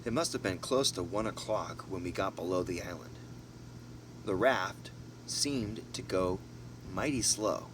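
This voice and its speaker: Amateur male audiobook narrator: lowish voice, casual storybook delivery in broken phrases, noisy homemade recording. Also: measured pace. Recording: noisy, distant mic, vehicle-like background hum.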